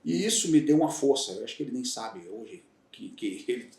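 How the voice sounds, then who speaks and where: A man's voice: mid-range voice, lively intonation, a little fast, darkish tone. Bahian man telling candid stories. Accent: Bahian Brazilian Portuguese. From Salvador, Bahia, Brazil. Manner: reflective, candid storytelling with frequent restarts. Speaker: guest, retired federal police agent. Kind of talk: casual podcast interview. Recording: roomy echo, voice heard from a distance.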